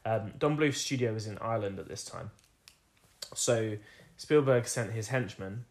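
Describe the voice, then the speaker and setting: A man with a croaky voice, co-hosting a casual film review podcast and rambling through anecdotes with frequent ums and laughs. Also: fairly low pitch, unhurried. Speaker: podcast co-host.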